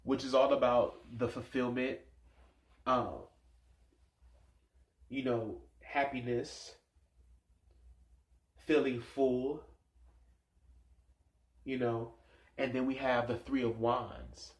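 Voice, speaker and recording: A stuffed-up man reads tarot cards in a loose, rambling way, thinking aloud with plenty of fillers. His voice sits at an ordinary middle pitch. The room around him gives it a noticeable echo. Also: flat delivery, takes it slowly, pauses often. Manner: musing, rambling, many fillers. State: stuffed-up nose. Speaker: tarot reader. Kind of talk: casual tarot reading vlog. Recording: echoey room sound.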